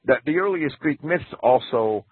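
A man's voice: medium pitch, expressive, normal speed, slightly rough, no room sound. A man guest on a radio talk show, explaining in a hesitant way with many restarts and careful caveats. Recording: phone-like radio audio.

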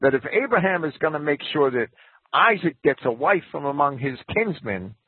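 A man's voice: a little high, very animated, normal speed, slightly rough, no room sound. A man guest on a radio talk show, explaining in a hesitant way with many restarts and careful caveats. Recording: phone-like radio audio.

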